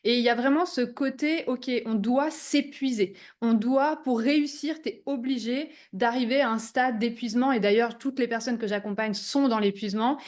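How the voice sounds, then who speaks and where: A young woman from Switzerland, a business coach, giving an energetic online workshop over a video call and mixing English business words into her talk. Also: fairly high pitch, moderate pace, hardly any pauses. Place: remote video call from abroad.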